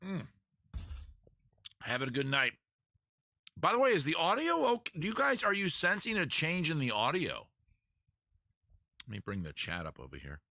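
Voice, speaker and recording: A man's voice: a little high, huge pitch swings, moderate pace, gravelly, pauses often, pushed and bright, no room sound, clean studio sound. A man hosting a live-streamed beer-and-songs show, rambling and joking about himself between songs, talking to the chat from under a small outdoor rain tent. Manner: rambling, self-mocking, chatty with the chat. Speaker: host, singer-guitarist. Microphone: microphone on a live-stream setup. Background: guitar.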